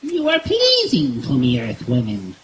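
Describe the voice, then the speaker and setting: Casting: merciless alien emperor, pompous and commanding, sharp swooping voice, radio serial staged in a roomy, noisy space. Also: male voice, medium pitch, wildly swooping pitch, a little slow. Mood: coldly menacing. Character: cruel, imperious male alien emperor, coldly gloating. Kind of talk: old-time radio serial acting on stage. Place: outdoor woodland stage at night.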